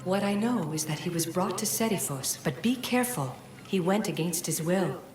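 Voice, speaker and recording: An actress playing an ancient godlike being in a video game, speaking in a serene, formal, oracular and cryptic way. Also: female voice, lowish voice, animated, moderate pace, a little mellow, natural voice. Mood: cryptic, calm. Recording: echoing voice with music behind.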